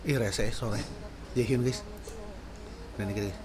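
A man in his thirties giving casual, excitable reaction commentary as a sound engineer, full of slang exclamations, at home in a small room. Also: lowish voice, measured pace. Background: faint music playing.

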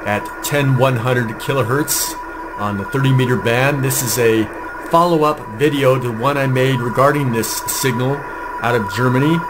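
A man with an American accent giving an informal hands-on demonstration as a video host. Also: medium pitch. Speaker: amateur radio video host. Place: indoor home radio desk. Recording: clear background radio static and signal noise. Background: shortwave receiver noise and signals.

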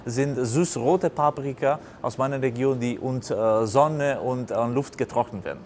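Italian man speaking halting German as second language. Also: mid-range voice, a little mellow. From Basilicata, southern Italy. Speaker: restaurant operator, interviewee. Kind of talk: unscripted interview answers. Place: indoors in a restaurant bar. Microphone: lapel mic. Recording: clear background noise, restaurant ambience.

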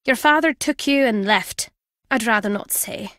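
A woman as an older British ex-spy, video game character, high-pitched, sardonic, guarded but fond, close dry sound. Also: normal speed, a bit bright, no room sound, natural voice. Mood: wry, reminiscing.

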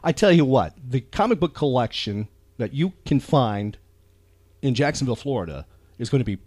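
A man's voice: medium pitch, very animated, normal speed, a little mellow, slightly rough, dry recording. A man hosting a podcast in a casual, rambling, enthusiastic chat about pop culture. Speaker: podcast host.

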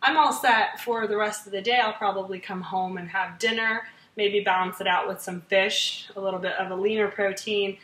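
American woman in her 30s doing a casual kitchen demo, bright highish voice, roomy sound a bit away from the mic. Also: steady tone, moderate pace, few pauses. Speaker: fitness gym trainer hosting a meal prep series. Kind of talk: casual cooking demo to camera. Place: home kitchen, indoors. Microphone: camera mic a few feet away. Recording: echoey kitchen, mic at a distance.

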